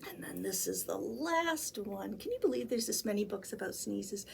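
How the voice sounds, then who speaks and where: Animated woman reading a storybook, lively pitch. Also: moderate pace, vocal fry, slight background noise. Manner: theatrical, sing-song emphasis with acted animal sounds and sneezes. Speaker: children's librarian reading storytime. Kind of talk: animated children's storybook read-aloud.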